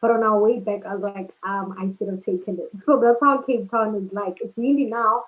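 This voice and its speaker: A young woman from South Africa, a law student, chatting casually and relaxed with "like" fillers over a video call. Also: mid-range voice, brisk. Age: young adult. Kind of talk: casual chat. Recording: tinny phone-like call line.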